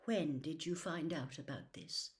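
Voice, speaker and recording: A woman voicing a calm, elderly male wizard headmaster in a storybook, soft, measured and grave. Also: low voice, pitched below the performer's own voice. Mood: quiet concern. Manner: measured, calm, gentle gravity.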